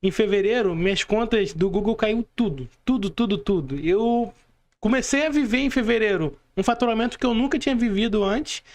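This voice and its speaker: High-voiced Brazilian man chatting. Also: brisk. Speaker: podcast co-host. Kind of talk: casual chat.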